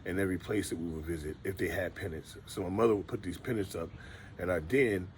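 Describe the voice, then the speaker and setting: A middle-aged man speaks in a very low, deep register. He talks in a relaxed, rambling vlog style, stopping to restart his sentences as he remembers. A faint ambient hum sits behind him. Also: quick pace. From Detroit, USA. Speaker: vlogger. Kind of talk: casual storytelling monologue.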